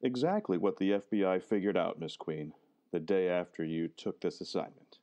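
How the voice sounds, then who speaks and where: An actor playing a sober government official who questions in a formal, measured way, in old-time radio drama style. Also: male voice, low-pitched, warm tone, lightly acted. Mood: serious, inquiring.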